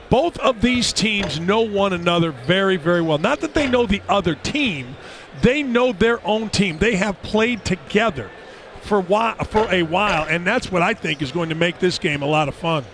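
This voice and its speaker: A man's voice: sits high, lively intonation, normal speed, a bit bright, slight background noise. A middle-aged man giving chatty, rambling color commentary on a basketball broadcast from a headset mic.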